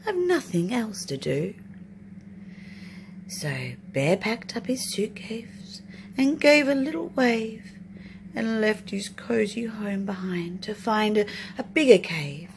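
A woman's voice: medium pitch, expressive, takes it slowly. A woman narrating a children's storybook expressively as a storytime reader. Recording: noisy home recording.

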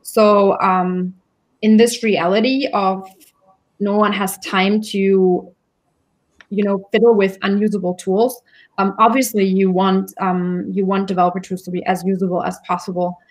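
A woman's voice: mid-range voice, level tone, a little slow. A woman with a mid-range voice gives her opinion on an online panel. She explains conversationally and informally, with firm views. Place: room indoors, video call.

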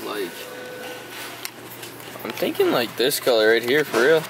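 A young American man talks to his vlog audience in loose, slangy, buddy-to-buddy fashion. His voice sits at an ordinary male pitch. He is picked up by a nearby camera, with clear ambient noise around him. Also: wildly swooping pitch, measured pace. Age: 20s. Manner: bro-ish slangy address to viewers. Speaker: vlogger. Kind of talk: casual vlog chat to camera. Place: car, large warehouse stores, parking lot. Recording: noisy car and big-box store ambience.

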